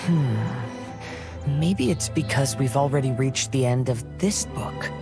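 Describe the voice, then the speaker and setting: A calm young man from an anime-style game, courteous and reflective. His measured lines sit over clear background music. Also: mid-range voice. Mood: thoughtful. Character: polite, thoughtful, calm young gentleman.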